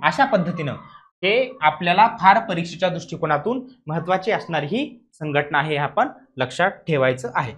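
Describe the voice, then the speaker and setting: A man's voice: fairly high pitch, animated, normal speed, fairly bright tone. A man teaching an exam coaching lesson, explaining emphatically, repeating key points and often checking understanding with rhetorical questions.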